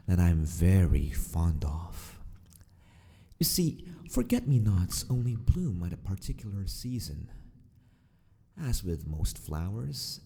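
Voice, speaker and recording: A man acting a sadistic, possessive mad scientist in an ASMR roleplay, speaking with formal, mock-polite theatricality and often slipping toward a breathy whisper. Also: deep voice, mellow, exaggerated voice. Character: sadistic, possessive male mad scientist of an empire, mockingly courteous. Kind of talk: ASMR villain roleplay monologue.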